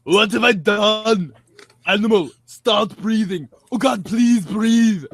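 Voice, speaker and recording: A man's voice: high-pitched, slow pace, husky, dry recording, full character voice. A man voicing a panicked, guilty puppet dog in a comic skit, melodramatic and fretful as he thinks aloud.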